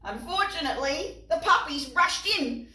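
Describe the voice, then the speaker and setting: A woman reading a story aloud to young children in an expressive, dramatic way, with asides to her listeners. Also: high-pitched, normal speed. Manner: expressive, dramatic gasps and exclamations. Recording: roomy echo, distant mic, music.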